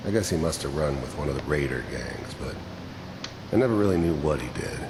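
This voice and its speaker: An actor playing a world-weary, bitter male mercenary in a video game, with a gravelly, plain-spoken delivery. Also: very deep voice, animated. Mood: bitter, reflective. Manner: slow, brooding, plain-spoken. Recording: roomy, noisy game capture.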